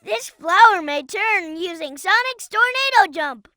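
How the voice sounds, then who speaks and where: A performer voicing a young boy fox sidekick in a video game, childlike, earnest and excitable, eager to explain things. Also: very high voice, a little slow, dry recording, clean studio sound, full character voice. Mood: earnest, observant. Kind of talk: video game character voice acting.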